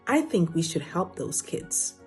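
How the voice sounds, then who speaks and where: A woman voicing an earnest, kindhearted young boy in a gentle children's storybook reading. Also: fairly low pitch, sing-song, unhurried, soft background music. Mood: earnest, hopeful.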